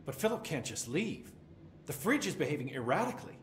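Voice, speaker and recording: World-weary male bureaucrat, sardonic, game dialogue, lively pitch swings, echoey room. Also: a little fast, faint music behind. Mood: businesslike. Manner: deadpan, sardonic. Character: dry, world-weary male government containment supervisor. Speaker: prison-like containment supervisor. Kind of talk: video game voice acting. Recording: roomy echo, faint background noise.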